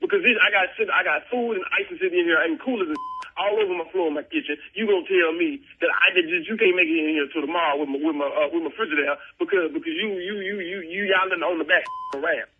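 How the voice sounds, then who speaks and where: A man's voice: fairly high pitch, fast, husky. A man in an informal phone conversation over a narrow telephone line, protesting in exasperation, stammering and repeating himself. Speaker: prank call victim, customer.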